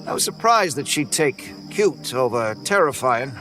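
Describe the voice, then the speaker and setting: A man narrating a first-person horror story in a relaxed, folksy way, over faint music. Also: mid-range voice, normal speed.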